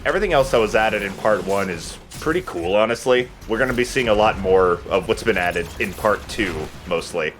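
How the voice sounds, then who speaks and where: A man's voice: on the low side, expressive, fairly quick, few pauses, fairly bright tone, soft background music. A man giving casual, conversational gameplay commentary as a let's play host.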